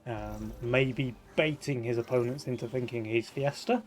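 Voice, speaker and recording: A man's voice: mid-range voice, normal speed, slight background noise. A young man hosting a live esports broadcast, upbeat and laughing often as he runs the show. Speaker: esports caster and host.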